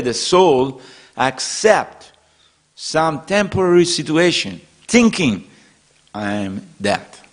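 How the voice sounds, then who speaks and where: A man giving a devotional scripture class in a temple, speaking earnestly in repetitive phrases with non-native English grammar. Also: mid-range voice. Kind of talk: devotional sermon. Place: temple hall.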